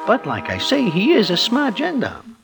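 A wry New York street character in an old radio drama, with a highish, very animated voice. He talks in oddly formal slang, never shortening a word, over background music. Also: moderate pace, gravelly, full character voice. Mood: confiding narration. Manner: formal slangy present-tense speech without contractions. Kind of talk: 1950s radio acting, scripted narration.

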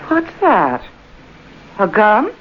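An actress playing a scornful, domineering wife in an old-time radio drama, mocking, commanding and theatrical. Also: female voice, mid-range voice, wildly swooping pitch, very slow, lo-fi sound, full character voice. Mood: needling. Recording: noisy vintage radio recording.

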